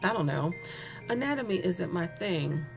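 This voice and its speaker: A woman's voice: on the low side, animated, moderate pace, creaky. A woman playing a gleefully murderous college-age woman who taunts her captive in a chatty, mocking dramatic monologue for a horror audio story. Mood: chatty, morbid. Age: young adult. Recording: music behind the voice.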